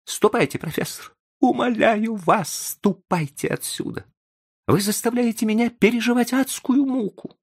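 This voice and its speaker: A man's voice: very high voice, huge pitch swings, measured pace, creaky, pitched above the performer's own voice, heavily acted. A man voicing a distraught, desperate young man in an audiobook story, pleading in agitated exclamations.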